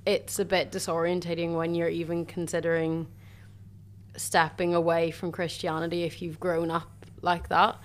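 A young woman from Ireland, a university student telling her faith story in chatty, warm casual talk full of "sort of" and "like". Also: on the low side, level tone, normal speed. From Northern Ireland. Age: young adult. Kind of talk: casual faith testimony chat.

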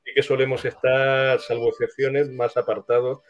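A man from the screenwriting trade talks through his answers. He is wordy and hesitant, pausing to think, at a medium pitch. The recording is slightly dull and lo-fi. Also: little pitch movement. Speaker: screenwriter being interviewed. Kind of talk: casual interview answers. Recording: dull, lo-fi sound.

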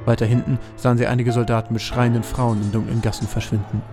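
A man's voice: medium pitch, little pitch movement, fast, music in the background. A man narrating a scripted fantasy audio drama as a storyteller.

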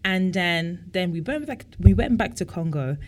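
A woman in her twenties from Belgium, chatting casually as a guest, animated and full of fillers and quick asides. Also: lowish voice. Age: 20s. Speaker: interviewee. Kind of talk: casual chat.

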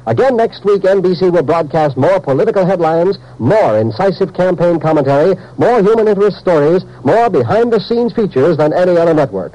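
A man's voice: sits high, very animated, fairly quick, hardly any pauses, warm tone, poor audio. A man with an American accent reading a formal, emphatic 1950s radio network announcement.

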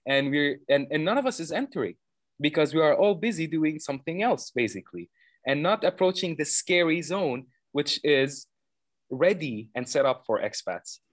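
A man in his thirties from Lebanon, answering interview questions earnestly and at length, with many fillers and quick self-corrections. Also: fairly high pitch, animated, dry recording. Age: 30s. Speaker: program founder interviewed as guest.

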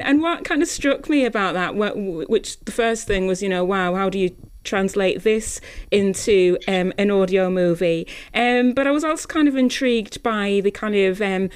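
A woman conducts a casual radio interview. Her voice is clean and resonant at an average pitch. She feels her way through questions, often restarting as she goes. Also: clear, resonant tone. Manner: hesitant, searching phrasing with many repeats.

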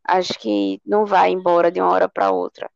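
A singer from Recife, chatting easily as a podcast guest. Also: female voice, on the low side, fairly quick, gravelly, dry recording, muffled recording. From Recife, Brazil. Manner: relaxed, says "menino" and "sabe?". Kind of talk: casual interview chat.

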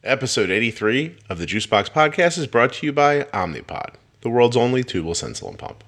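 A man's voice: mid-range voice, sing-song, brisk, vocal fry, fairly bright tone. A man in his forties hosting a podcast, playful and self-deprecating, sometimes tripping over his words. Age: 40s. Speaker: podcast host. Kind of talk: podcast intro and interview.

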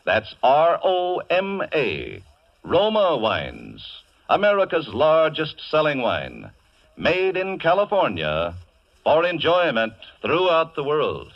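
A man's voice: a little high, wildly swooping pitch, slow pace, no room sound. A man announcing a radio commercial in polished, emphatic 1940s advertising style. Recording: muffled vintage radio broadcast.

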